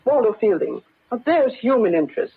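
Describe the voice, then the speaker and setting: An actress playing a frank, concerned newspaper secretary in a 1940s radio drama, earnest and proper. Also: female voice, a little high, big pitch swings, brisk, slightly rough, exaggerated voice. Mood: frank, persuading. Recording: muffled old radio broadcast, narrow band.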